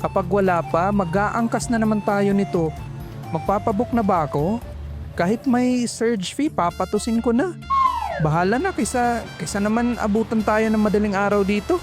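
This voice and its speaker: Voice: medium pitch, normal speed, over music, lightly acted. A performer playing a sassy, flamboyant call center agent who complains in dramatic slang. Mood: grumbling. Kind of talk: horror story dialogue.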